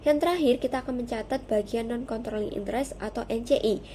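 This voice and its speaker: A young woman with a mellow, fairly high voice. She delivers a prepared student presentation, formal and methodical, moving step by step. Some background noise sits behind her. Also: fast. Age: young adult.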